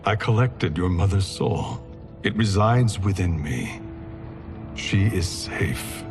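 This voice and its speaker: A solemn father in a dark fantasy game. His very deep voice moves slowly and gravely, hushed beneath dramatic music. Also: lively intonation. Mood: solemn, tender. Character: solemn father, ruler who holds souls. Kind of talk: dramatic video game acting.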